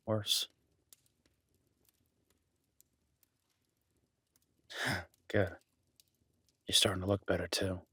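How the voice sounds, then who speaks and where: Protective outdoorsman , played by a man for an intimate audio drama: husky, dry close sound, gently teasing and reassuring. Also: lowish voice, no room sound, exaggerated voice. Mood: caring, reassuring. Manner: soft-spoken, unhurried, reassuring, wryly joking. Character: gruff-kind woodsman host, gently teasing, protective cabin dweller. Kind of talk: roleplay audio drama, intimate one-sided conversation.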